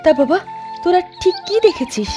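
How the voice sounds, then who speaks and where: Woman, cartoon voice acting, motherly, pleading, high-pitched, background music. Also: sing-song, normal speed. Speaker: voice actor for mother and young girl.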